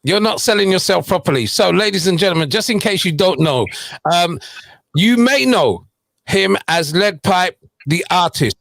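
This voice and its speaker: A man's voice: sits high, lively intonation, moderate pace, creaky, fairly bright tone, dry recording. A middle-aged man hosting a radio show, chatting and teasing his guest in a casual interview.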